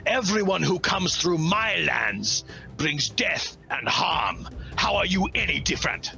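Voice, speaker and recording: A man voicing a huge, suspicious warlord chieftain who snarls and growls out slow, deliberate threats in a tabletop game. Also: a little high, sing-song, creaky, pushed and bright, dull audio, faint music behind, voice pushed up, full character voice. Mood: menacing.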